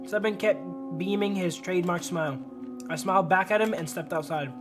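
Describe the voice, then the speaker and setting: A man's voice: sits high, a little fast, lightly acted. Man reading as weary, introspective narrator, music behind. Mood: brooding. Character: first-person narrator, weary anxious man on the run. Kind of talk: scripted visual novel narration read aloud. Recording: music under the voice.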